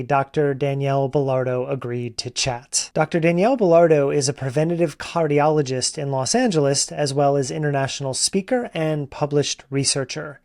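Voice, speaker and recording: A man's voice: fairly high pitch, a little mellow. A man hosting a podcast, reading a blunt, sardonic introduction and then putting questions to his guest. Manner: blunt, sardonic about supplement sellers. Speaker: podcast host.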